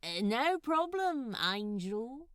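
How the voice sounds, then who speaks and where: Lady doing a grumpy muttering demon dude for an audiobook, sulky, swingy pitch, mischievous. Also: big pitch swings, slow, clear, resonant tone, no echo, clean studio sound. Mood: evasive. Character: grouchy sulking male demon, mischievous and dismissive. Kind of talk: acted dialogue in audiobook reading.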